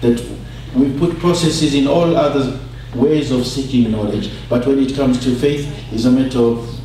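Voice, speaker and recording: A man's voice: mid-range voice, moderate pace, warm tone. A man speaking informally on a panel, telling stories and posing rhetorical questions. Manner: rhetorical questions, conversational storytelling. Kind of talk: informal panel talk with anecdotes. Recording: echoey hall, distant mic, faint hum.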